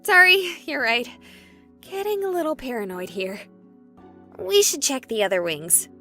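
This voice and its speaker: A high, animated female voice plays a stubborn young streamer in a horror visual novel. She is sarcastic and emphatic, with music running underneath. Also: unhurried, heavily acted. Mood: stubborn, jumpy. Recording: music behind the voice.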